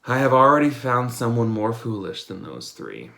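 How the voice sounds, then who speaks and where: A man's voice: medium pitch, very animated, normal speed, gravelly, pushed and bright, full character voice. A man voicing a sensible young suitor from a fairy tale, emphatic and advising. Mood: bemused.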